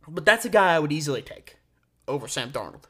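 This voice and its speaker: A man's voice: fairly high pitch, very animated, fast talker, a bit bright, breathy edge. A man hosting a solo sports show, giving opinionated commentary with emphatic delivery and words stretched out for stress. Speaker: sports podcast host.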